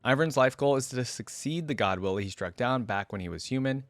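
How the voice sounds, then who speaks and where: A man's voice: medium pitch, expressive, brisk, dry recording. A man hosting a reaction video, commenting casually and musing aloud in an informal, conversational way. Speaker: host of a reaction channel.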